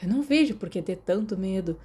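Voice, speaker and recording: Woman voicing a cheeky, lazy teenage boy, mellow. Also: medium pitch, lively intonation, brisk, natural voice. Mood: teasing, amused. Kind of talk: audiobook character dialogue.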